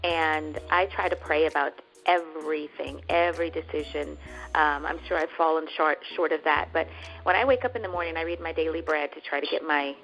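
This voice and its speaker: A woman from Northern California chatting as an actress guest in an interview, animated and laughing as she talks, bringing up her faith. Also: deep voice, a little fast, faint music behind, poor audio. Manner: animated, laughing, with religious references. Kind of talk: casual interview chat.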